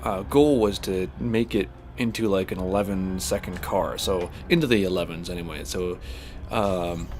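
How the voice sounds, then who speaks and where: A man's voice: low voice, normal speed, a little mellow, soft background music. A man hosting a gaming channel, chatting casually while he plays, rambling with lots of fillers and a slightly stuffed-up voice. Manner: rambling, heavy on fillers and restarts. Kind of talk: casual chat while playing a space game.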